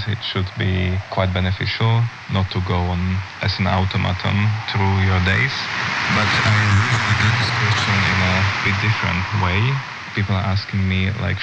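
Low, gravelly man over noisy shortwave radio. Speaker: interview guest, chess-based management advisor. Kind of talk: thoughtful interview answers. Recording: muffled shortwave radio with loud static. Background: shortwave radio static.

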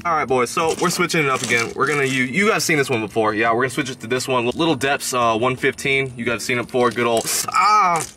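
A young adult man chatting casually to viewers in a slangy, excitable outdoor vlog style. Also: medium pitch, fast, few pauses. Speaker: fishing vlogger. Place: outdoors beside a pond. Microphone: chest-mounted action camera or camera close in front. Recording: outdoor ambient noise on action camera.

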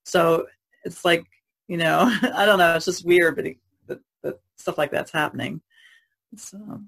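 A woman's voice: lowish voice, measured pace, gravelly, a bit bright. A woman speaking casually as a podcast panelist.

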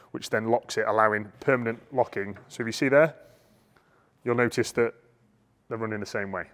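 A man's voice: medium pitch, a bit bright. A middle-aged man casually explaining vehicle parts as he walks around a workshop, on a lapel mic. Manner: chatty, explains parts hands-on. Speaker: workshop owner showing projects. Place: large vehicle workshop, indoors. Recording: long reverb of a big workshop.

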